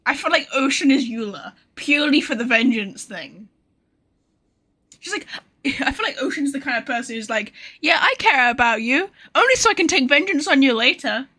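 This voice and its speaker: A woman livestreaming a video game, chatting casually to viewers in an excitable, rambling manner. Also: sits high, moderate pace. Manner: excitable, rambling, swears freely. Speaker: game streamer. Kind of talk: casual live gaming commentary, chatting to viewers.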